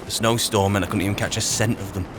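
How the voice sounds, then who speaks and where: A low-pitched adventurer, played by a man in a fantasy game roleplay, musing wryly as he travels. Clear background noise of game ambience runs behind the voice. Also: steady tone, quick pace, natural voice. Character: wry adventurer musing aloud on a mountain trek. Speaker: player roleplaying a game character on a let's play channel. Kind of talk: in-character improvised game narration.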